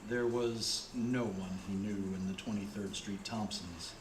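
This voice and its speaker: A man's voice: lowish voice, steady tone, unhurried, noisy background, natural voice. A man acting as the plain, grim storyteller of a radio play, reading scripted narration. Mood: grim, measured. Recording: roomy, with music behind.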